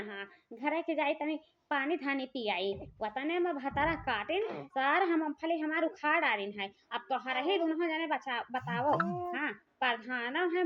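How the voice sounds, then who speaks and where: A woman's voice: high voice, a little hiss or hum. A woman playing an angry, scolding village farmer in a comic cartoon, speaking with an eastern Uttar Pradesh accent and repeating herself. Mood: accusing. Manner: scolding, repetitive, confrontational. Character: angry village woman farmer accusing thieves of stealing peanuts. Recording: dull lo-fi audio.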